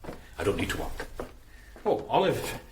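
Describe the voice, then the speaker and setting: A man's voice: medium pitch, sing-song, normal speed, reverberant, background noise, close to an everyday voice. An actor playing a stiff, defensive middle-aged adoptive father in a radio drama, speaking in a prim, disapproving way.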